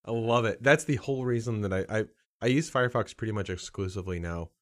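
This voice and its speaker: A man co-hosting a casual podcast, laughing often and throwing in quick quips. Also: lowish voice, dry recording, clean studio sound. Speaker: podcast co-host.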